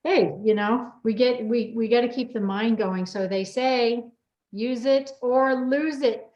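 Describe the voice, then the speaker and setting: Woman, chat host, higher pitch, excitable and repetitive, casual, roomy sound. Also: unhurried, muffled recording. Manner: excitable, repeats phrases. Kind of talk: casual group video chat.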